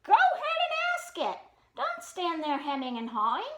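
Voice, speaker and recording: A woman's voice: very high voice, huge pitch swings, normal speed, pushed and bright, slight background noise, full character voice. A woman voicing a confident, lecturing father bear in a children's storybook. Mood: impatient. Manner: hearty, self-assured, lecturing.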